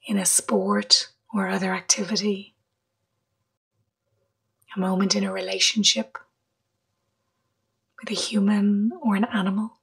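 Slow, husky woman guiding a meditation. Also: medium pitch. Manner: gentle, unhurried delivery with long pauses between short phrases. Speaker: meditation guide. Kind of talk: guided meditation, slow and measured.

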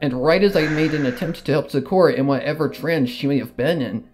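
A man's voice: fairly high pitch, brisk, darkish tone. A man reading a scripted story in the first person as a dramatic narration. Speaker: fan fiction narrator. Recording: guitar music behind the voice. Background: guitar and plucked string music.

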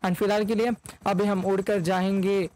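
A man's voice: high-pitched, flat delivery, moderate pace, darkish tone, a little hiss or hum, low-quality recording. A young man giving casual gameplay commentary as a YouTuber, speaking close to a microphone. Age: young adult. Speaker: gaming YouTuber. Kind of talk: casual gameplay commentary mixing Hindi and English. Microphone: close microphone in front of face.

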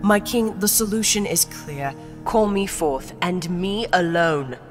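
A proud, ruthless female guard captain played by a woman speaks with imperious, formal emphasis. It is theatrical audio drama, with music clearly underneath. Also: on the low side, measured pace, natural voice. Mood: proud, insistent.

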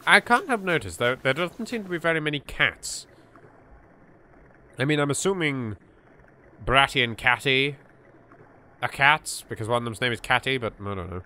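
Animated, bright-voiced English gamer. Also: male voice, mid-range voice, moderate pace, pauses often. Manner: jokey, rambling, exclaiming. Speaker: gaming host. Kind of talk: improvised let's play commentary.

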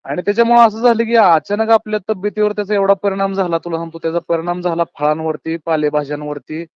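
A dull, lo-fi phone recording carries a man with a rural Maharashtrian accent, talking very fast. His voice sits fairly high and close, as he reasons earnestly and colloquially with his listener. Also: level tone, smooth, no echo. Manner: colloquial, earnest, philosophising, often addresses listener as brother. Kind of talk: casual phone chat, opinionated monologue. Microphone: phone call, close and dry.

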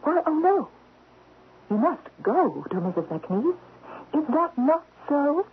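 An actress playing a seductive, commanding statuette queen of thieves in a radio drama, slyly coaxing and deliberate. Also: high-pitched, big pitch swings, full character voice. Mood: coldly insistent. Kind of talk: 1970s radio drama acting. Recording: muffled vintage radio recording with hiss.

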